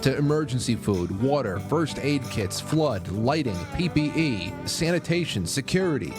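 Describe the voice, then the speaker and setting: A man's voice: medium pitch, big pitch swings, moderate pace, music in the background. A man hosting a casual solo show, chatting informally in a loose, rambling way. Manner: informal, rambling, lightly profane. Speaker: talk show host. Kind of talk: casual solo livestream chat with ad read.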